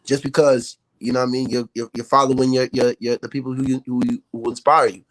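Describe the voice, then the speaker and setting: A man's voice: medium pitch, little pitch movement, fast, dry recording, low-quality recording. An American man, a rapper, talking casually as a podcast guest with slangy speech and frequent check-in tags. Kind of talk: casual interview chat.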